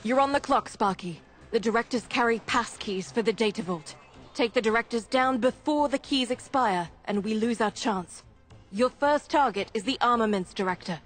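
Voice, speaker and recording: A woman's voice: fairly high pitch, moderate pace, soft background music, natural voice. A woman playing a tough, dry, commanding resistance leader in a video game. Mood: sharp, determined. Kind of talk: video game mission briefing.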